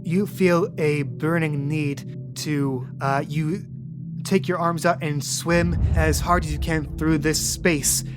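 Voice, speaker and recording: A game master narrating a tabletop game, describing eerie dream scenes in a calm, measured way. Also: mid-range voice, over music.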